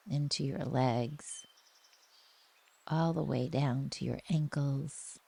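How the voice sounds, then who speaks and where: A woman with a soft, breathy voice gently leading a guided relaxation meditation in a soothing, unhurried manner. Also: very deep voice.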